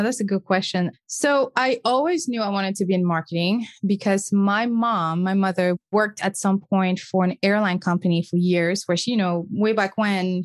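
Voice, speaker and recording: A woman from Senegal in her thirties whose first language is French, answering interview questions in an upbeat, quick way as a podcast guest. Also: fairly low pitch, dry recording. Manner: upbeat, quick, laughs often.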